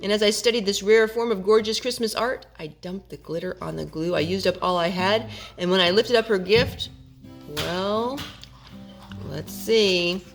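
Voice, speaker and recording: A woman hosting storytime for children, reading in an expressive storybook style. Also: mid-range voice, moderate pace. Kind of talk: expressive rhyming storybook narration. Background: music.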